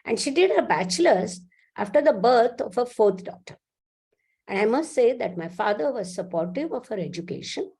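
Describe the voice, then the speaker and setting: Older woman from India, seventies, physicist at a lectern, mellow tone with a very animated pitch, explanatory and self-correcting. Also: moderate pace, husky. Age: 70s. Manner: lively, explanatory, restarts sentences. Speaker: physicist giving a lecture. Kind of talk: academic talk with slides.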